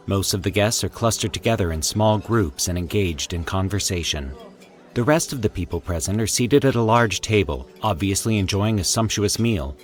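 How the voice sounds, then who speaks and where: Male narrator for scripted horror fiction: low register, highly animated intonation, formal and theatrical delivery, faint music underneath. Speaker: host and narrator of a fiction podcast.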